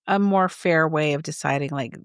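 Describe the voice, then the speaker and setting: A woman in her sixties co-hosting a podcast, chatting casually and bluntly with quick asides. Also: low voice, clean tone, no echo, clean studio sound. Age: 60s. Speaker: podcast co-host. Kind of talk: casual chat.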